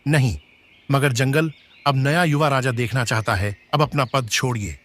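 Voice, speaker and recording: A man's voice: medium pitch, moderate pace, a bit bright, a little hiss or hum, lightly acted. A man voicing a tiger who speaks for the jungle animals in a cartoon fable, deferential yet firm. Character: tiger subject, doubtful spokesman of jungle animals. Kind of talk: Hindi animated moral story dialogue.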